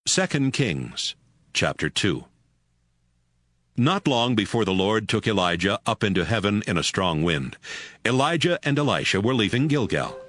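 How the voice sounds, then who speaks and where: Animated low-voiced male Bible narrator. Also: normal speed, no echo, studio quality. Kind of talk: scripted dramatized Bible narration.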